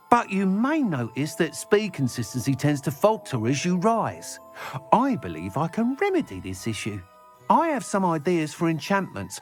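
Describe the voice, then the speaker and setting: An actor playing an eager, chatty shopkeeper and inventor, talking in enthusiastic sales patter. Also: male voice, sits high. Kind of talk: scripted game dialogue.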